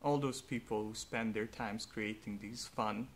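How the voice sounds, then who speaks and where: A young man, a native Hungarian speaker, speaking slowly and earnestly in a reflective personal monologue with faint background noise. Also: fairly low pitch, fairly even intonation. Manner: slow, thoughtful, earnest. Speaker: vlogger.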